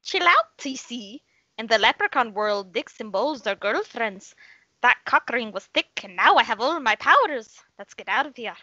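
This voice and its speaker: A man's voice: extremely high pitch, very animated, normal speed, pushed and bright, no room sound, lo-fi sound, voice pushed up, exaggerated voice. A man voicing a crude, greedy leprechaun who talks in cocky sing-song rhymes for a comedy story. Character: crude, greedy, rhyming leprechaun hungry for gold.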